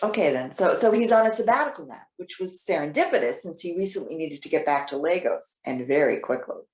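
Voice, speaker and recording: A woman playing a trusting, excitable elderly widow in readers theater, talking in a chatty, halting, earnest way. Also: sits low. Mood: eager, confiding. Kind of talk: readers theater stage acting. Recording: roomy, echoing sound.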